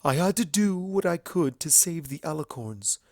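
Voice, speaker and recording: A regal mare of the night, played by a man in a fantasy storybook. The voice is lifted in pitch, solemn and earnest. The phrasing is formal and measured, in a dry recording. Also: lively intonation, a little mellow, no room sound. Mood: regretful.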